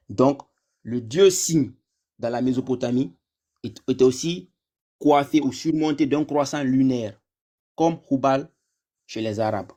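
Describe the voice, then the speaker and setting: Guy explaining his side on a live chat, reads stuff out, trails off quiet at the end. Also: medium pitch. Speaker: debate panelist. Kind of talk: live discussion, reading sources aloud.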